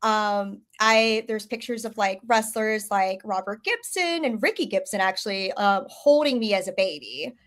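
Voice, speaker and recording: A woman's voice: mid-range voice, lively intonation, moderate pace, few pauses. A chatty woman from Southeast Alabama with a partly masked Southern accent, a guest on a video-call podcast, laughing often and filling with ums and likes. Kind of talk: casual chat.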